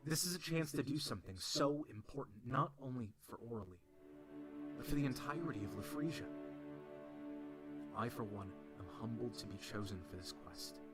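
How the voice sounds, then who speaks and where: Man acting an earnest guard leader, loud music. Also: mid-range voice, animated, moderate pace, natural voice. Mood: solemn, rousing. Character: earnest, dutiful male guard team leader. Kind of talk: audio drama acting. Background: dramatic orchestral score.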